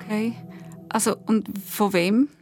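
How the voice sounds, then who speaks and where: A woman's voice: mid-range voice, steady tone, a little slow, darkish tone, faint music behind. A woman co-hosting a podcast and asking questions in casual conversation. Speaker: podcast co-host and producer.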